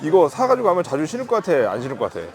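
A man's voice: fairly high pitch, wildly swooping pitch, racing. A man talking casually as a vlog host, with a playful, teasing manner. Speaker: vlog host, husband in a couple channel. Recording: busy noisy urban public places.

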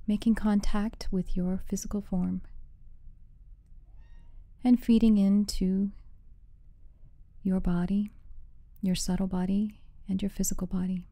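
Slow, very mellow woman guiding meditation. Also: mid-range voice, no echo. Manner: soothing, measured, with soft breathy phrase endings. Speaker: meditation guide. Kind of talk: guided meditation, slow instruction.

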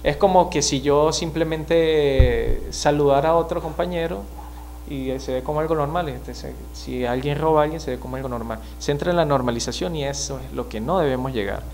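A man in his thirties from Venezuela, with a Venezuelan accent, speaking as a criminologist guest in a studio interview, measured and explanatory with frequent hesitations. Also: a little high, darkish tone, slight background noise. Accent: Venezuelan Spanish. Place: small TV studio. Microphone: mic on desk stand.

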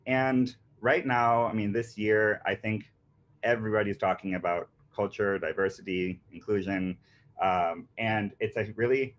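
A man in his thirties presents a business workshop from home over a video call. His voice sits very low, and he talks earnestly, with plenty of fillers and restarts. The call audio sounds dull and lo-fi. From grew up in Panama. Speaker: marketing agency founder presenting a workshop. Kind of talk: webinar presentation. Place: indoors on a video call with a virtual beach background. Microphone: computer mic on a video call.

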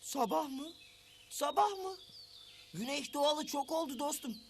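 Amiable working cartoon animal: extremely high voice, reasonable manner, faint noise behind. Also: male voice, expressive, measured pace, exaggerated voice. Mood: gently reproving. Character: hardworking, friendly handy animal with a drill. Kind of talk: children's cartoon voice acting.

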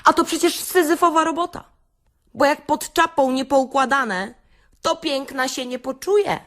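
A woman's voice: high voice, a little slow, fairly bright tone. A woman playing a brash, sarcastic beautician in a satirical radio-play monologue, theatrical and full of rhetorical questions to her listeners. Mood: exasperated. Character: brash, sarcastic beautician delivering a comic self-help rant. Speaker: actress reading a comic monologue.

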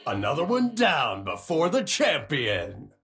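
An actor playing a brash, cocky racing robot in a video game, with a gravelly, husky voice and a boisterous drawl. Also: male voice, on the high side, huge pitch swings, unhurried, fairly bright tone, faint music behind, full character voice. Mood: smug. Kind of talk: video game character voice lines.

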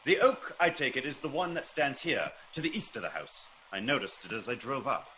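Classic radio detective: probing, theatrical, commanding, animated delivery, slight noise on an old recording. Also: male voice, medium pitch, fairly quick, poor audio. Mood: keen, assured. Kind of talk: classic radio drama acting.

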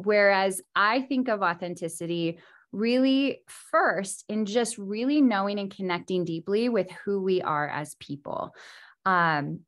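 A woman in her thirties talks to an online meetup from her home kitchen. Her voice is a little froggy from a recent throat infection, sitting at an ordinary middle pitch. She speaks earnestly and upbeat into a laptop mic. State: recovering from strep throat and bronchitis, a bit froggy. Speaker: guest speaker. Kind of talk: motivational talk on a video call. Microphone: video call, laptop camera.

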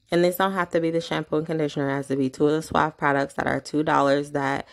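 A woman's voice: sits low, gravelly. A woman vlogging a couponing haul at home, chatting casually as she reads off prices, coupons and totals into a handheld phone camera. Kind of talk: casual shopping haul walkthrough.

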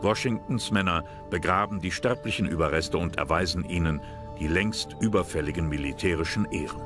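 Man, deep voice, scripted documentary narration, serious measured delivery, clear background music.

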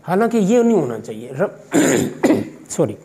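A middle-aged Pakistani college vice principal with a Pakistani Urdu accent, answering interview questions in a measured, fatherly way with religious phrasing and hesitant fillers, recorded in an office by a distant camera. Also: fairly high pitch, sing-song, mellow. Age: 50s. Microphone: far camera.

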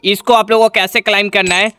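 High, bright-voiced man presenting a gaming tutorial. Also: little pitch movement, quick pace, a little hiss or hum. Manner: instructional, repetitive, addressing viewers directly. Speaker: gaming channel host. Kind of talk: scripted-sounding gaming tutorial voice-over.

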